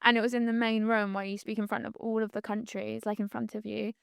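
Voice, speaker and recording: A woman's voice: on the high side, flat delivery, fast talker, vocal fry, clean tone, dry recording, clean studio sound. A woman, talking reflectively as a podcast guest, full of likes and you-knows. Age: 20s. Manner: chatty, reflective, many "like"s and "you know"s. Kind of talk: casual conversational interview.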